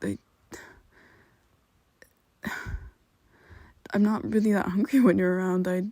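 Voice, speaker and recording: A woman voicing a secretive, protective young male vampire best friend in an ASMR roleplay, talking haltingly and often dropping to a whisper. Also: on the low side, fairly even intonation, mellow, no room sound. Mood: confused.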